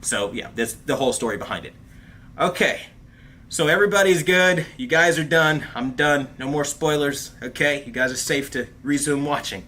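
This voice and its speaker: A man hosting a casual livestream, talking in an animated, chatty way with plenty of fillers and calling his viewers bro and dude. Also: on the high side, moderate pace. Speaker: host of a TV review livestream. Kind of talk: casual livestream chat reading viewer comments.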